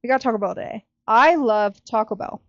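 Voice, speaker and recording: Young woman, slightly high voice, American accent, casual podcast chat, trailing sentences, dull recording. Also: brisk, dry recording. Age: young adult. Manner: informal, heavy use of "like", trailing off. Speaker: podcast co-host.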